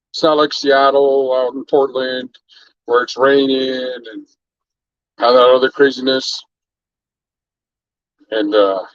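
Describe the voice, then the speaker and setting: A man's voice: medium pitch, no echo, lo-fi sound. Laid-back man rambling on a livestream. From Canada. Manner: laid-back, rambling, shouts out viewers by name. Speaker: livestream host. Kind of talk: casual livestream chat.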